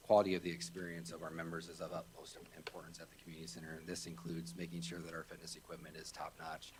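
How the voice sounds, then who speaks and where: A deep, very low, flat-toned man presents at a public board meeting, plainspoken and full of ums and uhs. His voice comes through a meeting microphone in an echoey room with a steady hum behind it. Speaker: parks staff member presenting.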